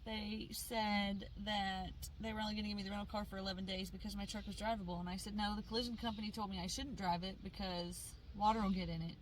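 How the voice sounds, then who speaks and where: A woman co-hosting a casual podcast, loud and matter-of-fact, sitting outdoors in an open-top Jeep. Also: medium pitch, fairly even intonation, quick pace, few pauses. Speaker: podcast co-host. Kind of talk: casual chat. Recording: noisy, distant from mic.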